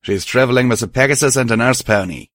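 A man voicing a glowering male pony gang leader who speaks in a brisk, demanding manner, in an audiobook. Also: mid-range voice, a bit bright, dry recording, pitched above the performer's own voice, close to an everyday voice. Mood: suspicious.

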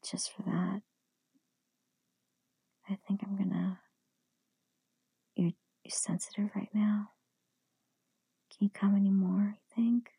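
Adult woman for intimate audio roleplay: very husky, breathy whisper with fry, commanding and teasing delivery. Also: medium pitch, level tone, slow, long pauses, mellow, dry recording, low-quality recording. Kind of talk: whispered erotic audio roleplay, addressing the listener.

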